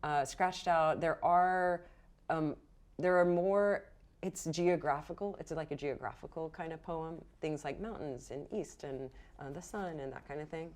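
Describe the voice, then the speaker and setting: A woman game master narrating a tabletop game in an informal, halting, conversational way. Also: deep voice, darkish tone.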